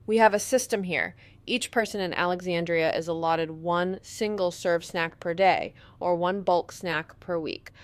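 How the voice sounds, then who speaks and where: Audio drama role: helpful female storekeeper played by a woman, slightly low pitch, orderly explanatory manner. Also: steady tone, measured pace, few pauses, natural voice. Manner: matter-of-fact, explanatory. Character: helpful, orderly female pantry keeper in survivor settlement.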